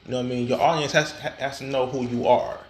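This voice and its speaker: A man's voice: mid-range voice, fairly even intonation, fast talker. A man hosting his own podcast, talking casually and alone, with many restarts and repeated words. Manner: frequent fillers and restarts, stammering repetitions. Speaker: podcast host. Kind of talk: casual solo podcast talk.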